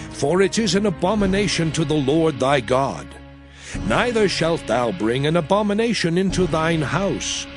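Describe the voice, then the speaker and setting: Solemn old testament prophet, slow grave preaching, big swells up and down, music behind. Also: male voice, fairly high pitch. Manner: grave, declamatory, deliberate phrasing. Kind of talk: dramatized scripture reading, sermon-like oratory.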